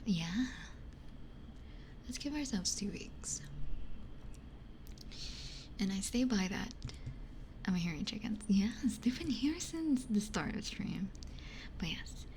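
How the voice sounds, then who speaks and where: A woman streamer whispering in a breathy, conversational ASMR style, talking reflectively close to a binaural microphone. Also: mid-range voice, noisy background. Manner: reflective, conversational, repeats phrases for emphasis. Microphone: close binaural ear microphone.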